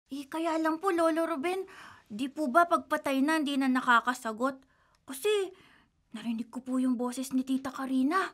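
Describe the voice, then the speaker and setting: A woman's voice: high-pitched, steady tone, normal speed, a bit bright. An actress voicing a frightened young grandson who politely insists he heard a ghost, in a radio drama. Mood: insistent.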